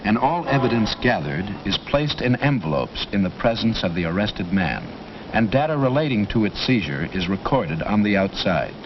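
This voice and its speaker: A man's voice: on the low side, expressive, normal speed. Male narrator, vintage training film, authoritative and formal, muffled audio with heavy hiss. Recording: dull old film soundtrack with loud hiss.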